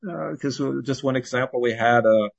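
A man's voice: medium pitch, moderate pace, gravelly, no echo. Middle-aged man in dull lo-fi audio. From Brazil. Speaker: podcast guest, climate scientist and founder. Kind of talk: conversational interview answers.

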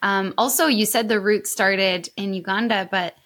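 A woman's voice: medium pitch, fairly even intonation, normal speed, a bit bright. A woman hosting a casual interview podcast, affirming and encouraging her guests. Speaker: podcast host.

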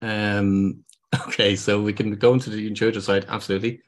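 A man's voice: fairly low pitch, little pitch movement, brisk. A man with an Irish accent, a podcast guest and business consultant, explaining earnestly with frequent ums and restarts. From Ireland. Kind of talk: podcast interview.

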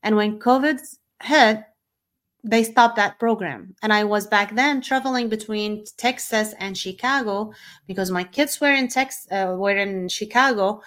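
A woman's voice: mid-range voice, measured pace, a bit bright. A middle-aged woman from Syria with a Syrian Arabic accent, a native Arabic speaker, hosting a podcast in an informal, unscripted personal monologue. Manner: informal, off-the-cuff. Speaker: podcast host.

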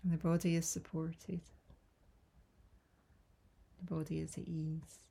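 Low, creaky, breathy woman guiding meditation. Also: little pitch movement. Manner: soothing, gentle, unhurried with long pauses. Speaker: yoga nidra meditation guide. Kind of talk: guided relaxation meditation.

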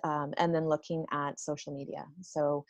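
Woman, low-pitched, interview guest, business owner, conversational, informal, thinking aloud, dry sound. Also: little pitch movement, moderate pace, no echo.

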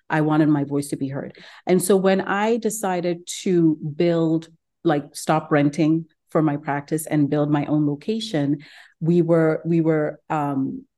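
Low, mellow-voiced woman from Ghana. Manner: earnest, steady, frequent "right?" and "um". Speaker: gastroenterologist and practice owner, guest. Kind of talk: interview answers. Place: home.

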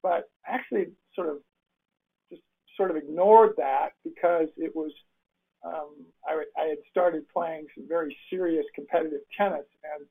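Older man with a gravelly phone-line voice. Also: a little high, moderate pace. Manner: rambling, many restarts and fillers. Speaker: interview host. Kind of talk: casual interview chat. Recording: phone-like remote call audio.

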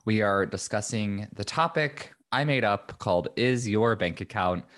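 Man, podcast host, slightly low voice, casual and chatty, a bit scratchy, upbeat with filler ums. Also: expressive, unhurried, a bit bright. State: recovering from lost voice, slightly scratchy. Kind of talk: casual solo podcast talk.

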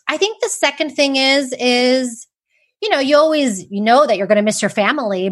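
Chatty woman from Texas, higher voice. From Texas, USA. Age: 40s. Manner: animated, quick, chatty. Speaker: interview guest. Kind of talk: casual interview chat.

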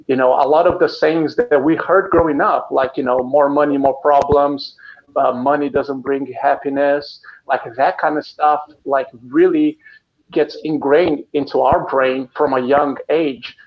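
A man's voice: medium pitch, creaky. A man in his thirties, originally from Brazil, talking as a guest in a casual interview, earnest and often repeating words as he starts sentences. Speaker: canine physical therapist, guest. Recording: dull lo-fi audio from a live stream.

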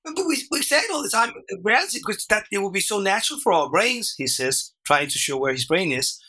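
A man whose first language is Danish, a workshop facilitator explaining his method as a podcast guest, animated and full of restarts and "right?". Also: high voice, a little fast. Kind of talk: conversational interview.

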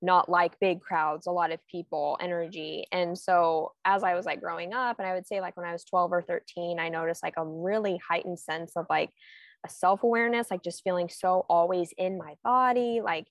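A woman's voice: fairly low pitch, fairly even intonation, normal speed, no echo. A woman in her twenties, a guest speaking reflectively and filling her sentences with "like". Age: 20s. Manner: reflective, heavy use of "like". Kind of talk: casual podcast chat.

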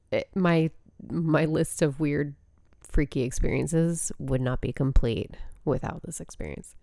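Woman, podcast host, stuffy nose, low pitch, gravelly fry, dry close sound, unhurried rambling chat. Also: mellow, dry recording. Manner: rambling, with frequent fillers and laughs. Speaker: podcast host and spiritual coach. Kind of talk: casual storytelling monologue.

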